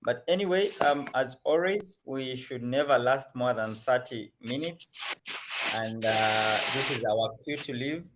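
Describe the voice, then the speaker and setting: A man's voice: mid-range voice, unhurried, noisy background. A man hosting an online panel discussion, who stammers at the start of his sentences. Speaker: host.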